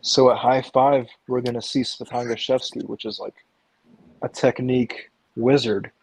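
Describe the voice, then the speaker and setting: A man whose first language is Russian, talking in a measured, practical way in casual chat, with a few ums. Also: medium pitch, little pitch movement, no echo, poor audio.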